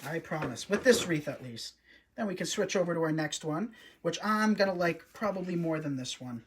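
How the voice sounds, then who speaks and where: A man's voice: a little high, expressive, normal speed, slight background noise. A man hosting a live wreath-making stream, chatty and upbeat, often talking to his viewers as he works. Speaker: host, wreath designer. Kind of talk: live craft demonstration.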